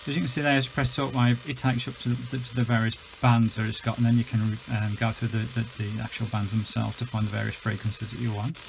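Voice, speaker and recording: A man's voice: medium pitch, flat delivery, fast talker, gravelly, hardly any pauses, noisy background. A British man giving a chatty, enthusiastic, unscripted gadget review, explaining things as he goes. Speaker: product reviewer.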